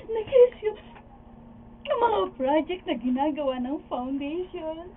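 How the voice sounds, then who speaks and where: A tinny, phone-like recording of a radio drama. An actress plays a distressed, devoted wife with a very high voice, speaking slowly in a plaintive, weepy manner. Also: female voice, very animated, a little hiss or hum, exaggerated voice. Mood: tearful, aggrieved. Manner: plaintive, weepy, drawn-out delivery. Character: distressed loyal wife confiding tearfully in a friend. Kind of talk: radio drama acting in Tagalog.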